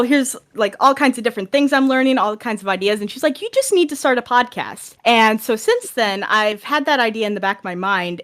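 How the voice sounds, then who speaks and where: A woman's voice: medium pitch, fairly bright tone. A woman talking casually and chattily as a guest on a podcast. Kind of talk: casual chat.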